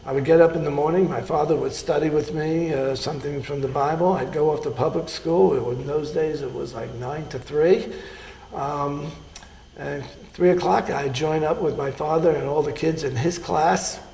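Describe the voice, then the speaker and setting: An older man, a surgeon, recalling his life in an oral history interview, speaking haltingly with many pauses and fillers. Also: a little high, fairly even intonation, vocal fry, dark tone, echoey room, a little hiss or hum, poor audio.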